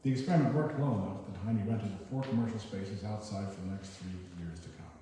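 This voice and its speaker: A man giving a poetry reading, with informal and somewhat halting spoken introductions. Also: on the low side, dark tone. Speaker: poetry reader at an open reading. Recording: very echoey hall, far mic, noisy.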